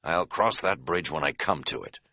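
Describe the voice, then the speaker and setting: A man's voice: deep voice, sing-song, normal speed, husky, no echo, muffled recording, exaggerated voice. A man voicing a weary, implacable hiking companion with dry sarcasm in an audiobook. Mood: dry, dismissive. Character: unflappable, weary, dryly mocking male hiking companion. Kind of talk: audiobook dialogue.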